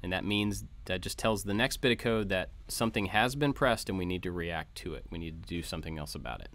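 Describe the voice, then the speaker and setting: Low-pitched man explaining code informally. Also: fairly bright tone. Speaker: host of a maker tutorial channel. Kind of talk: informal code walkthrough narration. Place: voice-over for a screen recording.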